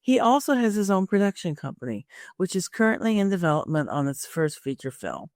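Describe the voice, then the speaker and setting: A woman's voice: medium pitch, big pitch swings, a little fast, darkish tone, dry recording, studio quality. A woman hosting a career podcast, moving between a prepared introduction and friendly interview chat. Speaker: podcast host and career coach.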